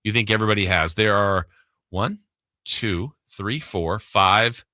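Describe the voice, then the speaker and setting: A man's voice: fairly low pitch, a little slow, bright, forceful tone, no room sound, clean studio sound. A man hosting a sports radio show, talking casually and thinking aloud in a conversational way. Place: radio studio. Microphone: close broadcast studio mic.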